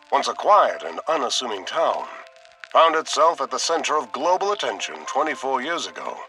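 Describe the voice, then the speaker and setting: A grave male mystery show presenter, formal and portentous, with a dramatically swinging mid-range pitch. His voice sits at a distance with clear music playing, in an audio drama broadcast. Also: bright, forceful tone. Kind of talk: scripted true-mystery broadcast narration.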